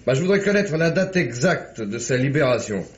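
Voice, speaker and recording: Actor as shrewd police commissioner, dull vintage recording. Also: male voice, medium pitch, expressive, normal speed, lightly acted. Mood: businesslike. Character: shrewd, genial, authoritative male police commissioner. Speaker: actor in a radio mystery. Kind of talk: 1950s French radio drama acting. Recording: dull, band-limited vintage radio recording.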